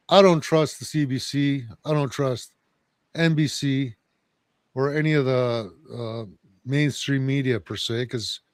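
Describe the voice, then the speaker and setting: A man's voice: medium pitch, no echo. Man hosting, close dry sound. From Canada. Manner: loose, chatty, conspiratorial. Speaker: podcast co-host. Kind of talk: casual talk show hosting.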